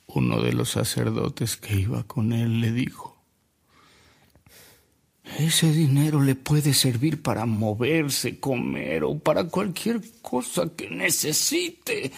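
An actor playing a frail, remorseful old man who narrates his past in a radio drama, slowly and reflectively, with a husky, gravelly voice. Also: mid-range voice, full character voice. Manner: slow, reflective, with coughs and pauses.

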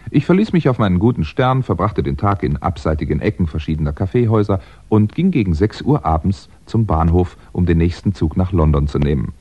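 Low-voiced, husky crime novelist on the run. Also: male voice, dark tone, heavily acted. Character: hunted male crime novelist, polite, brooding, wry. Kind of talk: 1960s German radio crime play acting. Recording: dull 1960s radio recording.

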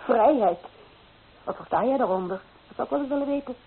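A woman's voice: fairly high pitch, lively intonation, a little fast, breathy edge. An actress playing a stern, scornful woman who belittles and admonishes in an old radio play. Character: stern, scornful woman scolding a romantic girl. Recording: tinny, narrow-band old radio recording.

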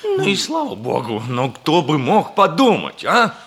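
An actor playing a commanding, excitable major in a theatrical 1960s Soviet radio comedy style. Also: male voice, on the high side, measured pace, heavily acted. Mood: reproachful. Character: bluff old-army major, a commanding, excitable gentleman.

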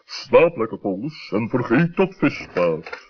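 A man voicing a stern, wise owl in a children's radio play, pompous and deliberate, husky, with a Netherlands Dutch accent. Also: mid-range voice, big pitch swings, a little mellow, full character voice. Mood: admonishing. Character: stern, wise male owl, gruffly fatherly. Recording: muffled vintage radio recording.

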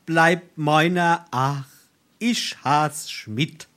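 Slow, naive Hessian simpleton. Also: male voice, a little high, a bit bright. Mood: chummy. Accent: Darmstadt Hessian. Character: simple, timid, lovesick young male pupil. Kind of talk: theatrical dialect farce acting.

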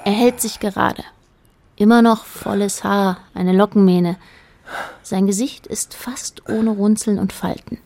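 A woman narrating a literary radio play in a measured, precise manner. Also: medium pitch, fairly even intonation, gravelly, darkish tone.

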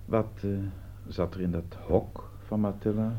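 A man acting a gruff, sceptical police commissioner in a Dutch radio play, dry, sarcastic and probing. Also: on the low side, steady tone, unhurried, a little hiss or hum, close to an everyday voice. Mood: brisk questioning. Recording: dull, lo-fi radio play recording.

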